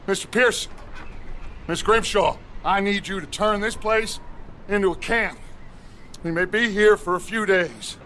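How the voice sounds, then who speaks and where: An actor playing a charismatic middle-aged American outlaw gang leader in a western game, speaking in a deliberate, oratorical, paternal way. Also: male voice, sits high, sharp tone, slight background noise. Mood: solemn, rallying. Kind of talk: cinematic western game acting.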